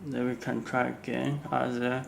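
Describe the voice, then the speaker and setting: A man who is not a native English speaker, giving an unscripted product walkthrough in halting, simple phrases with frequent pauses. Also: mid-range voice, flat delivery, creaky. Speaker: product demonstrator. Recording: faint background noise.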